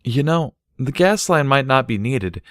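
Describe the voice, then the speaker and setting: A man's voice: mid-range voice, lively intonation, a little slow, no echo, studio quality, pitched above the performer's own voice, natural voice. A man voicing an earnest, thoughtful male unicorn who explains things, in a storybook reading.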